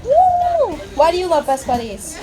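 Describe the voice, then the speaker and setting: A woman's voice: extremely high pitch, huge pitch swings, a little slow, low-quality recording. A young woman casually interviewing people on the spot, bubbly and encouraging.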